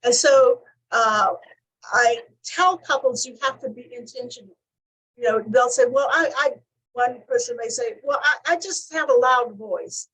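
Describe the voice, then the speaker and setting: Husky-voiced woman explaining calmly. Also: a little high, rough recording. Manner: explains in measured lists. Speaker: counselor. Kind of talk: teaching talk show discussion.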